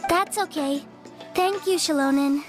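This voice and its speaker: A woman voicing a sickly, well-mannered young girl in a game cutscene, speaking softly. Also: high-pitched, unhurried. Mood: sweet. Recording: game audio with background music.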